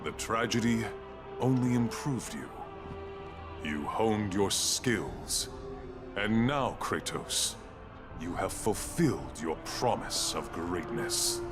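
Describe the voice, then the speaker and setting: A man's voice: fairly low pitch, expressive. A man playing a stately, proud Spartan elder in a video game, speaking in a solemn, ceremonious way. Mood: solemn, approving. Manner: measured, ceremonious. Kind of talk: video game dramatic acting. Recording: music behind.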